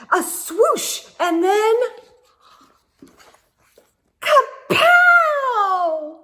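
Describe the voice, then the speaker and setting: A woman reading a picture book aloud to children in an animated, dramatic way, with hushed asides to her listeners. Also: extremely high pitch.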